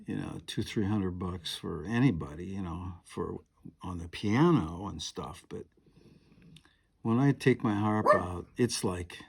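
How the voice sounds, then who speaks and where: Rambling older man, husky voice. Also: deep voice, a little slow. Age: 70s. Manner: chatty, meandering, many fillers and asides. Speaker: piano and harp player. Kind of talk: rambling monologue to camera. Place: home.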